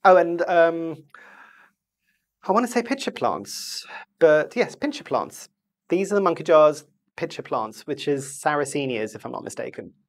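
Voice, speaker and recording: A houseplant vlogger talking at home with a stuffed-up, congested voice. The delivery is chatty and meandering, full of asides and mid-sentence self-corrections, at an ordinary middle pitch. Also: sing-song, moderate pace. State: stuffy, blocked nose. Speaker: houseplant video host. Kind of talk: casual chatty plant review.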